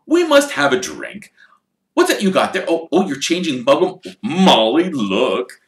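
An excitable, kindly middle-aged father whose voice rises with enthusiasm and quick indignation, as a man puts him on. His lively pitch rings with a bright edge in a roomy space. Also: far from the mic, full character voice. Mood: excited. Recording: echoey room.

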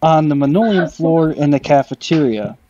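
A man's voice: a little high, expressive, normal speed, mellow. A young American man casually telling personal stories over gaming voice chat. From United States, Michigan. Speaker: guest storyteller on gaming video. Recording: dull lo-fi voice chat.

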